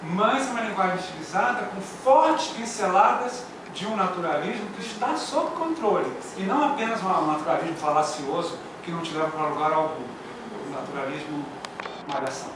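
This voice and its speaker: A man's voice: high-pitched, animated, normal speed. A man with a Brazilian Portuguese accent giving emphatic, didactic feedback as a theatre teacher, repeating his key phrases. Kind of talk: spoken critique at a post-performance discussion. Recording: echoey room from far off, noisy.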